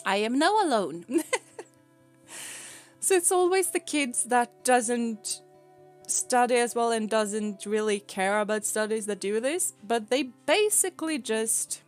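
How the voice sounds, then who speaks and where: A woman with a Swedish accent chats away in a slightly high, very lively voice, rambling and giggling between thoughts. She takes her time with each phrase, and soft music plays behind her. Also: slow. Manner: rambling and giggly, often laughing mid-sentence. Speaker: VTuber streamer. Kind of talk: casual stream chat.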